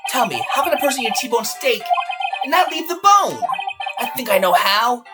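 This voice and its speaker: A man's voice: very high-pitched, sing-song, moderate pace. A man voicing an earnest, dogged young male defense lawyer in a fan-dubbed courtroom game, with an emphatic, declamatory delivery. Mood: accusing. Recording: loud music behind the voice.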